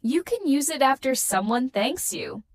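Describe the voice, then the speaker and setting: A woman leads a beginner English lesson, her fairly high voice rising and falling a great deal. She speaks slowly and spaces every phrase carefully. The sound is close and dry, with no room around it. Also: lively intonation, no room sound. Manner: slow, carefully spaced, patterned sentences. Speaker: English teacher.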